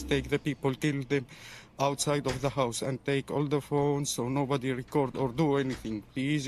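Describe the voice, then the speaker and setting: A man's voice: mid-range voice, darkish tone. Guy from syria pleading for help, keeps repeating himself, faint noise behind him, amateur video. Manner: pleading, repetitive. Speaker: eyewitness in a video appeal. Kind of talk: pleading personal testimony. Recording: noisy amateur video clip.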